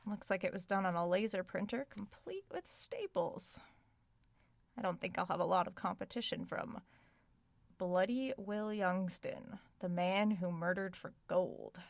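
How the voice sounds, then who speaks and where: A woman's voice: mid-range voice, lively intonation, unhurried, creaky, no echo. A woman playing an inquisitive, polite but stubborn young writer in an audio drama, natural and articulate with a wry touch. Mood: wry, curious.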